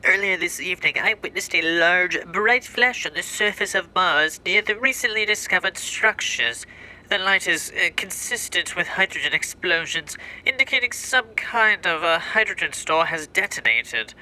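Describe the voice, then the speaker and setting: A man playing an earnest astronomer interviewed in a radio drama, formal and slightly halting, with a sharp, thin voice. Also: high-pitched, expressive, full character voice, rough recording. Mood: earnest, assured. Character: earnest, dismissive male astronomer, phone interview guest.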